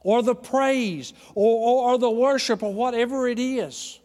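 A man with an Oklahoma accent preaching a sermon in a church, with a folksy manner, dropping low and quiet and then rising loud. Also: a little slow, in a large hall. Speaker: pastor.

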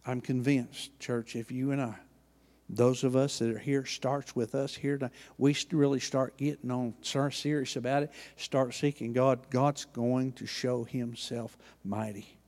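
Oklahoma pastor man preaching in church, folksy, goes quiet then loud, mid-pitched voice. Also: little pitch movement, a little slow, long reverb tail. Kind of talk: sermon.

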